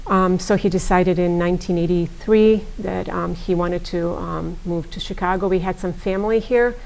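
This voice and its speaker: A middle-aged woman from Serbia, whose first language is Serbian, answering interview questions in a measured way with frequent pauses. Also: lowish voice, steady tone, vocal fry, a little mellow, rough recording. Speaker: guest, pharmacist.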